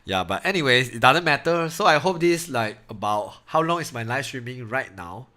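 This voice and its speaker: A man's voice: medium pitch, animated, moderate pace, sharp tone. A young man from Malaysia with a Malaysian English accent, chatting casually with students on a live-stream maths class, laughing often and talking in loose, easygoing grammar. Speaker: online maths tutor.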